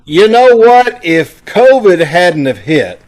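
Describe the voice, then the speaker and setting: A man's voice: sits high, sing-song, takes it slowly, crowd noise, poor audio. A man casually hosting a live online broadcast on a video call, making announcements in a folksy, conversational way. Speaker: host of a live online radio-troupe broadcast.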